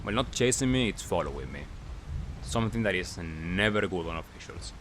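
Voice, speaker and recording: A man giving casual gameplay commentary as a recap, talking in short clipped phrases with pauses. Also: deep voice, lively intonation, a bit bright, slight background noise. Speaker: gaming video creator.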